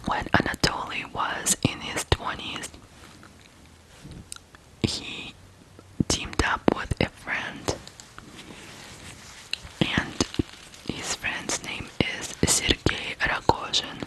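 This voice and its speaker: Slow whispering ASMR storyteller from Ukraine. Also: rough recording. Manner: soft, deliberate, pausing between short phrases. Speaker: ASMR true-crime host.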